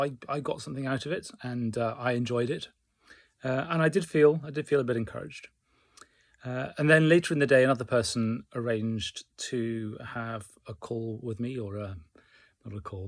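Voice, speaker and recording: Guy doing a casual diary vlog, measured and a bit hesitant, average pitch, really dry room sound. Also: dry recording. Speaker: hobbyist learner keeping a progress diary. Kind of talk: casual personal vlog recounting the day.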